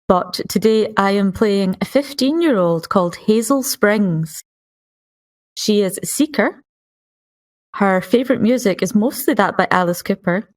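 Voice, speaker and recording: A woman's voice: medium pitch, animated, unhurried. A woman, a player on a roleplaying podcast, introducing the character she is playing.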